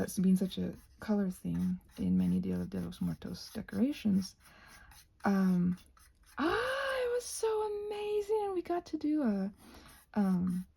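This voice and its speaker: Female ASMR craft host, scattered and chatty, soft dark tone with lots of fry, breathy and often near a whisper. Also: mid-range voice. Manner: scattered, thinking aloud to herself. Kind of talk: rambling ASMR craft chat while painting.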